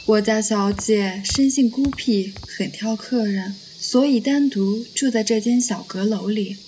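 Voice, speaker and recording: A woman's voice: medium pitch, unhurried, dark tone, slight background noise, natural voice, low-quality recording. A woman playing a polite young maidservant in a period audio drama, speaking deferentially. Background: faint music.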